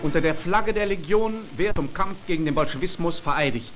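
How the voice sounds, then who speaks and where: A high-voiced man delivers scripted narration for a 1940s propaganda newsreel. His delivery is formal and declamatory, in the manner of a period announcer. Loud music plays behind him on the old film soundtrack. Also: brisk, rough recording.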